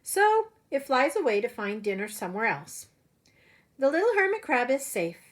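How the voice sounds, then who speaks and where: A woman preschool teacher telling a story to young children in an expressive way, with simple phrasing and direct questions. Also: high-pitched, normal speed.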